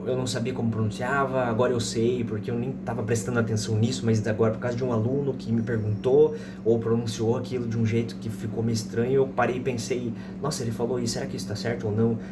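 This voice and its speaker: A man in his thirties with a Brazilian accent, teaching informally and didactically, repeating examples slowly and checking in with listeners. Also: medium pitch, flat delivery. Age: 30s. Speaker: English teacher. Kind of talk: informal explanatory language lesson with slow example sentences. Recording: distant mic, loud background noise.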